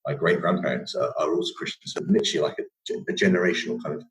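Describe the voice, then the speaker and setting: A man's voice: a bass voice, quick pace, vocal fry, poor audio. A young man from England with a northern English accent, a podcast guest telling his story casually, rambling with many fillers and restarts.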